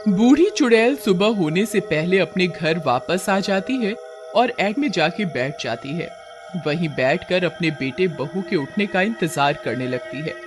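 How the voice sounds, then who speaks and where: A man's voice: high-pitched, lively intonation, unhurried. A man telling a scripted horror story as a dramatic narrator. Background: music.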